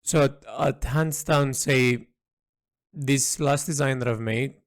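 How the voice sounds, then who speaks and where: Slow-talking man recording a reflective audio log. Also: mid-range voice, steady tone, no room sound, clean studio sound. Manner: hesitant, halting, thoughtful phrasing. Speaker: artist narrating a design log.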